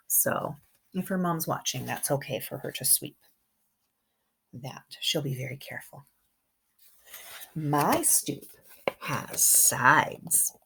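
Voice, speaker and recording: Woman, kindergarten teacher type, low register, slightly husky, expressive and animated storybook reading with conversational asides for little listeners. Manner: expressive, engaging young listeners with questions and comments. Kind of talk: children's picture-book read-aloud with chatty asides.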